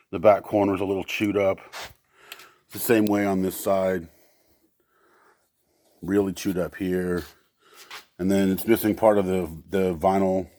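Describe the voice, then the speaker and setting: A man with a fairly low voice walks through used equipment he is selling, casual and unscripted. He thinks aloud, often halting and unsure mid-sentence. The sound is very dry, with no room echo. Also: dark tone. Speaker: seller demonstrating used equipment.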